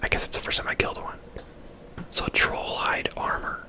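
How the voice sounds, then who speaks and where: A person whispering casual, chatty ASMR commentary while playing a video game. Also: moderate pace.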